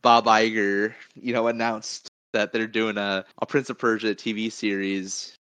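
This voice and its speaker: A man co-hosting a podcast in casual chat, halting and circling back on his phrases. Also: fairly low pitch, flat delivery, fairly bright tone, clean tone, no room sound. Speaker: podcast co-host. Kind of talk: casual chat about movies and video games.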